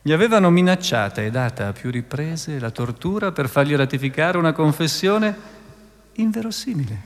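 A man's voice: a little high, lively intonation, moderate pace. A man delivering dramatic scripted narration as the narrator of a radio play. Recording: long reverberant hall, faint music.

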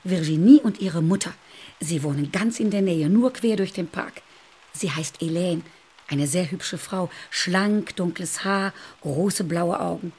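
An actress playing a kind, gossipy caretaker who chatters and fusses in a radio play. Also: female voice, lowish voice, measured pace, a little mellow, slightly rough. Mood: chatty. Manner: chatty, fussing, cheerfully talkative.

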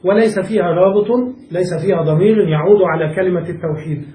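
A man's voice: high voice, fast talker, dark tone, reverberant, recorded from a distance, poor audio. A man teaching a classical Arabic grammar lesson, explaining step by step and checking that students follow. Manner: patient, asks checking questions to students.

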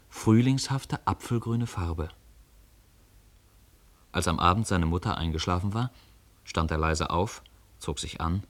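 A man narrating a story for a radio play in scripted storytelling style. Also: fairly low pitch.

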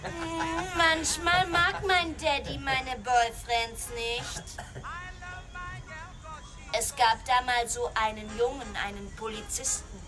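Unhinged rich girl in a radio drama, coy n childlike, drags her words out, music behind. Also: high-pitched. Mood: coy, girlish. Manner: slow, coquettish, childlike.

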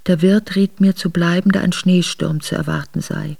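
A woman's voice: on the low side, steady tone, normal speed, gravelly, darkish tone, slightly rough, exaggerated voice. An actress playing a noblewoman who soberly recalls a hard winter journey in a radio drama. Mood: sober, reflective. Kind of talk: radio drama memoir narration.

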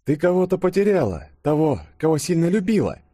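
A man's voice: a little high, sing-song, a little fast, mellow, no room sound, clean studio sound, voice pushed up. A man voicing a wry, sarcastic young man with a light, ironic manner in an audiobook.

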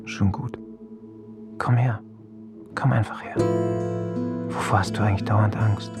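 Radio drama lover: a tender, teasing partner, very unhurried, low and soft, guitar music. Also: male voice, fairly even intonation, in a whisper.

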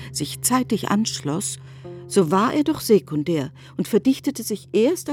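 A woman performing as a composed narrator in a literary radio drama, speaking in a measured, formal and deliberate way. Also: mid-range voice, a little mellow.

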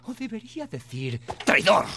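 A man's voice: sits high, very animated, normal speed, gravelly, bright, forceful tone, slightly rough, exaggerated voice. An actor playing a bold male toy space ranger who barks stiff military orders in a storybook reading. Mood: indignant. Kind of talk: storybook dialogue. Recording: music playing under the voice.

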